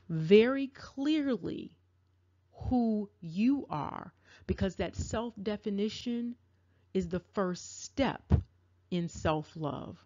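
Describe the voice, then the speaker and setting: A woman giving spoken commentary to her viewers, deliberate and emphatic, with a repetitive cadence. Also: mid-range voice, darkish tone. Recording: dull lo-fi audio.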